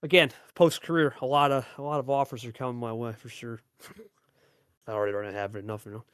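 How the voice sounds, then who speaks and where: A man's voice: mid-range voice, steady tone, brisk, a bit bright, smooth, dry recording, studio quality. A man hosting and commentating on a card game match, hyping it up and repeating phrases for emphasis. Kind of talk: live play-by-play commentary and player interviews.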